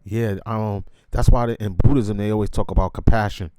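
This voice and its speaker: A man's voice: on the low side, steady tone, brisk, dark tone, clear, resonant tone, dry recording. A man hosting an interview in casual, slangy chat, affirming and enthusiastic toward his guest. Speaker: interviewer, host.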